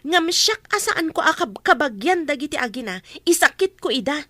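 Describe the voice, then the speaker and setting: A woman's voice: high voice, big pitch swings, moderate pace, a bit bright, no room sound, clean studio sound. A woman acting an outspoken, sympathetic neighbour in an Ilocano radio drama, earnest and reproachful, with an Ilocano accent.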